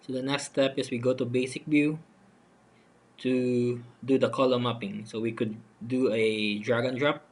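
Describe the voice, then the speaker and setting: A man giving a halting, unscripted, step-by-step software demonstration as a voice-over for a screen recording. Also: mid-range voice. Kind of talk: step-by-step screen tutorial narration. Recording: noticeable steady background noise.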